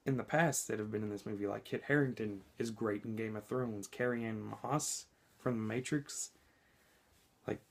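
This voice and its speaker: Man, sick and hoarse, a bit scratchy. Casual reviewer, chatty, lots of ums and restarts. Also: mid-range voice, darkish tone. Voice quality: slightly scratchy. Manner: chatty and informal, frequent ums and self-corrections. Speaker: movie reviewer. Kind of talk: casual spoken movie review.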